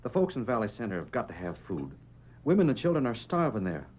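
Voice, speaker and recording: Casting: pleading western townsman, husky and animated, folksy halting speech, muffled vintage radio sound. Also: on the low side. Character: desperate, pleading Old West townsman, earnest. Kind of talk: 1940s radio western acting.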